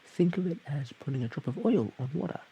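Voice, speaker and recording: A man's voice: on the high side, expressive, brisk, vocal fry, mellow, breathy edge, dull audio, pitched above the performer's own voice, heavily acted. A man voicing a shy, awkward, friendly slime creature, stammering hesitantly in an audio roleplay addressed to the listener. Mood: explaining. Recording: hissy background noise.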